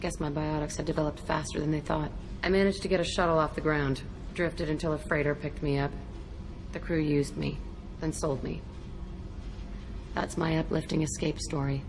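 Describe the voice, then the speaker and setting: An actress playing an embittered, tough young ex-prisoner in a video game, blunt, terse and profane. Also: female voice, sits low, fairly even intonation, moderate pace, noisy background. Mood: bitter, guarded. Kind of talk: video game dialogue acting.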